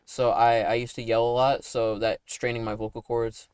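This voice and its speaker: A man chatting casually on a livestream while playing a game, with a slightly raspy, deepened broadcast voice and a rambling manner full of likes and tag questions. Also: flat delivery, moderate pace, darkish tone, no room sound. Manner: talking in a put-on broadcast voice. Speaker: animator and streamer.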